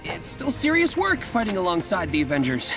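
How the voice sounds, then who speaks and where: A man's voice: high-pitched, wildly swooping pitch, fairly quick, heavily acted. An American man playing an upbeat, wisecracking, nervy young superhero in a video game, chatty and rambling. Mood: chatty, excited. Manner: chatty, rambling, self-correcting, jokey. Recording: game music behind the voice.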